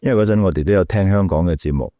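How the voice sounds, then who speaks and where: A man's voice: deep voice, racing, warm tone, dry recording. A middle-aged man with a Malaysian Cantonese accent telling stories casually as an interview guest, acting out quotes and repeating words as he goes. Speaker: radio DJ and podcast guest.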